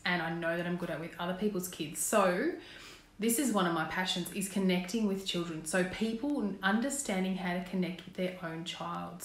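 Australian woman, 30s, slightly croaky, earnest coach chatting to camera in a roomy home space. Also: medium pitch. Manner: earnest, encouraging, asks viewers questions. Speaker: parenting coach. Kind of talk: casual live-stream talk to viewers. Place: indoors at home, small room. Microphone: phone camera, close framing. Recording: roomy echo in a home room.

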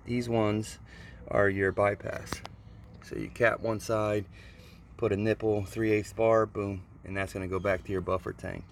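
A man's voice: lowish voice, little pitch movement, unhurried, slight background noise. A man casually talking through a hands-on build, explaining it step by step in informal, colloquial words, recorded outdoors on a handheld phone. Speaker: pressure washing hobbyist showing a build. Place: outdoors on a concrete patio. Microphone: handheld phone behind the camera.

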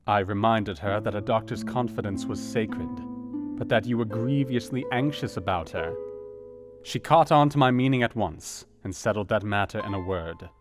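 An actor playing an earnest Victorian doctor reading his letter in a radio play, in a measured, formal manner. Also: lowish voice, natural voice. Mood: earnest, concerned. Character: earnest male Victorian doctor writing to a friend. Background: music with plucked guitar.

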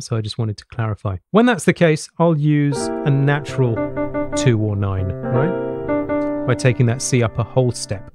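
A middle-aged man talks through a piano lesson at an ordinary pitch. He explains step by step and often adds a short question to check that it is clear. Piano playing is heard clearly along with his close-miked voice. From England. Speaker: jazz piano teacher. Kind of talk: instructional lesson talk with piano demonstrations. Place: small home study with bookshelves. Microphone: large studio mic on boom arm, close.

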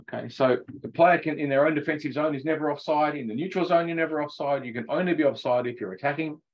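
A man's voice: fairly high pitch, fast talker. A middle-aged man coaching ice hockey, explaining the rules in a chatty online session and laughing at his own jokes. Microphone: video call. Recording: dull lo-fi video call audio.

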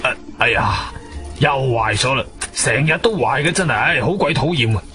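A man's voice: medium pitch, expressive, moderate pace, sharp tone, soft background music. A male actor playing an elderly, grumbling, uneasy building caretaker in a Cantonese radio drama, hemming and hesitating. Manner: hesitant, mumbling with many hems and sighs.

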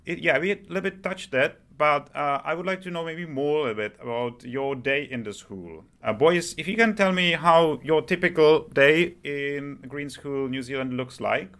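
Man interviewing in halting phrases. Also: on the high side, lively intonation, fairly bright tone. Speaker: podcast host. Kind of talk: conversational interviewing.

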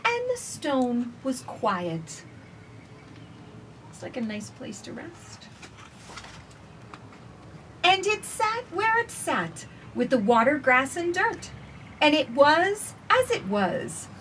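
Lady doing storytime for kids, slow deliberate reading, very up and down voice, camera mic a bit far off outside. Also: sits high, big pitch swings, fairly bright tone. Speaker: children's storytime reader. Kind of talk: expressive picture-book read-aloud with asides. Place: outdoors on a house porch. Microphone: camera a few feet away. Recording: distant camera mic, faint outdoor noise.

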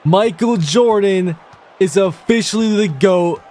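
A man giving excited, slangy, casual commentary over his basketball video game, talking to himself and his viewers. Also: high-pitched, takes it slowly. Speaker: gaming video creator. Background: basketball video game sounds.

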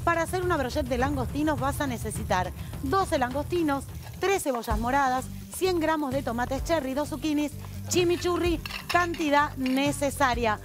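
A woman's voice: sits high, normal speed. A woman in her thirties with an Argentine accent, hosting a TV cooking competition, upbeat, urging the cooks along and reading out recipes. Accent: Argentine Spanish. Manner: upbeat, urges contestants along, reads out recipes. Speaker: cooking show host. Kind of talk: lively TV cooking show hosting. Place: TV studio kitchen set. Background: music.

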